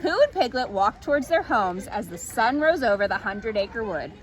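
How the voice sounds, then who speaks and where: High-voiced, animated woman storyteller. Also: moderate pace. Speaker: storytime reader, narrator. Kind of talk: animated children's story narration.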